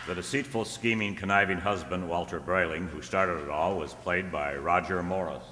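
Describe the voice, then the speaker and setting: A man announcing from a script in a deliberate, theatrical style. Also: low-pitched. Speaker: announcer reading cast credits. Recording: noisy echoey hall, loud crowd behind.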